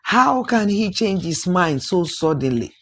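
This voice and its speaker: A man voicing an indignant, husky proud king. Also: sits high, very animated, unhurried, pitched above the performer's own voice, full character voice. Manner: protesting, rhetorical questions. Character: indignant, proud old-fashioned king and father.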